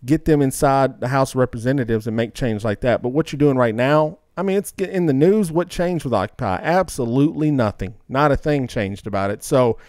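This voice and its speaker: A man's voice: mid-range voice, fairly quick, few pauses, a little mellow, no echo, studio quality. A man co-hosting a casual podcast, emphatic and slangy, often repeating himself to press a point. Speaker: podcast co-host. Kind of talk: casual opinionated podcast chat.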